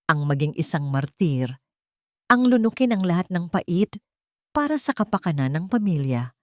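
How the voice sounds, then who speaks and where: A woman's voice: lowish voice, darkish tone, no echo. Woman narrating drama, dry close sound. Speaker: story narrator. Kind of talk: scripted dramatic narration of a love story.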